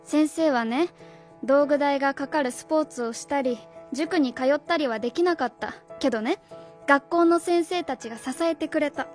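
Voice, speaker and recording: A woman acts out a proud, idealistic female schoolteacher in a radio drama. Her voice is very high, and her manner is formal, earnest and indignant. Faint music plays behind her. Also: normal speed, natural voice.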